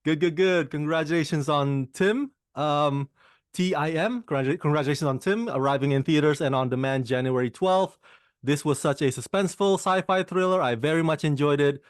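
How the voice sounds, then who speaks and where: A man interviewing actors about a film, eager and quick to laugh. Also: on the high side, dry recording, studio quality. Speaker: film interviewer, channel host. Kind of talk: enthusiastic interview questions.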